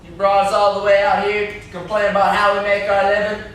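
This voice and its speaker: A man's voice: sits high, monotone, brisk, a bit bright. A young man acting in an amateur stage play as a defiant, cocky backwoods dog-fight promoter who argues brashly. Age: young adult. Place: classroom, indoors. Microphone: far camera. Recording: echoey classroom, distant camera mic, noisy.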